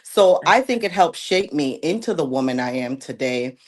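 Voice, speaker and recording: A woman from Jamaica with a low voice and a Jamaican accent that slips through now and then. She answers interview questions in a thoughtful, agreeable way. She sounds like she is in her forties. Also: animated, moderate pace. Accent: Jamaican, coming through now and then. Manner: warm, reflective, often says "absolutely" and "thank you". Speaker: guest, plus-size model. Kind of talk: casual interview chat.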